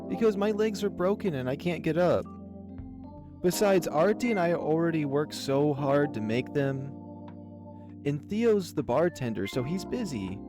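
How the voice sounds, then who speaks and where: A man's voice: fairly high pitch, lively intonation, a little slow. A man voicing an eager, playful young male animal friend in a visual novel, with music behind. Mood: playful, teasing. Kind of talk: visual novel character dub.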